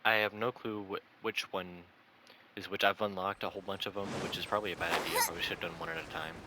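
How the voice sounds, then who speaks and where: A man's voice: sits low, flat delivery, fast, background noise. A man casually commenting as he plays a video game, with offhand, mumbled asides. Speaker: gameplay streamer.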